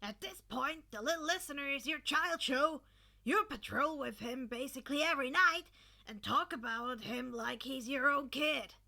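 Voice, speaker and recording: A woman voicing an exuberant, teasing male teacher in a story, with an emphatic, excitable delivery. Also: high voice, normal speed, creaky, full character voice. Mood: exasperated.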